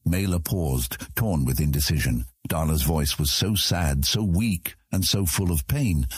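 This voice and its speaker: Man, audiobook narrator, low-pitched, husky, scripted fantasy storytelling, dry recording. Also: measured pace, no room sound.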